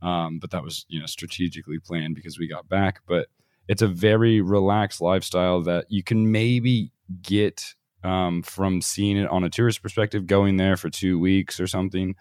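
A man's voice: deep voice, fairly even intonation, moderate pace, darkish tone, no echo, clean studio sound. A man who is a guest on a podcast, talkative and telling stories in casual conversation with frequent laughs.

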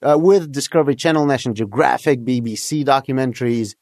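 A man's voice: medium pitch, fast talker, a little mellow, no echo, studio quality. A man from Egypt, a guest in a casual interview, eagerly explaining history and telling stories from his own life, laughing often. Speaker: guest, documentary producer.

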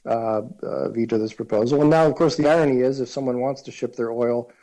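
A man co-hosting a political discussion, speaking casually with a wry, halting delivery. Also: medium pitch, fairly even intonation, dark tone, low-quality recording. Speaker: political podcast co-host.

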